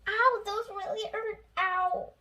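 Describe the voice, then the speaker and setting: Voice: very high-pitched, steady tone, slow pace, bright, forceful tone, a little hiss or hum, voice pushed up, full character voice. A child reading a storybook, voicing a blustering, indignant villainous penguin president. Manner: indignant exclamations.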